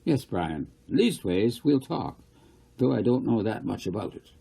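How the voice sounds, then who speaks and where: Storybook farmer, acted by a man: older, plain-spoken, curious, husky and mellow, with rustic turns of phrase. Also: lowish voice, big pitch swings, a little slow, exaggerated voice. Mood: kindly. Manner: folksy dialect, musing.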